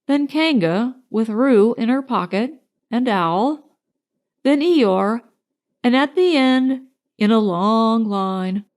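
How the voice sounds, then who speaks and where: A woman's voice: on the high side, darkish tone. A woman narrating a children's storybook from a script as an audiobook reader.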